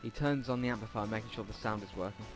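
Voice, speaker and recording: A man's voice: fairly low pitch, quick pace. A man doing casual let's play narration, reading a visual novel aloud informally and stumbling over words now and then. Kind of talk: casual read-aloud game narration with asides. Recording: music behind the voice. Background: game music.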